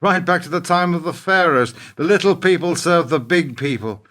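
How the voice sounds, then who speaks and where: An actor playing a wounded, principled middle-aged businessman in a radio play, speaking in a halting, wry, pointed way. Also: fairly high pitch, a bit bright, lightly acted. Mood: reflective. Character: wounded, principled businessman confronting his treacherous partner.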